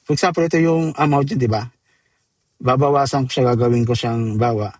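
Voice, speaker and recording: A man's voice: mid-range voice, a little fast, darkish tone, no echo. Filipino man giving a casual, dry-sounding tutorial. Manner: informal, addresses viewers as guys, code-switches into English terms. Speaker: software tutorial presenter. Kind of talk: casual screen-demo tutorial, step-by-step.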